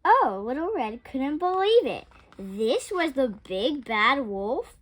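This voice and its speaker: A woman reading a children's picture book aloud with expressive, dramatic storytelling. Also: sits high, slow. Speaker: storytime reader.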